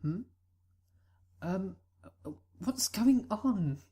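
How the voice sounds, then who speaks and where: A woman's voice: medium pitch, animated, drawn-out words, mellow, husky, exaggerated voice. A woman voicing a shy, bookish teenage colt who stammers when flustered, in a fan audio reading.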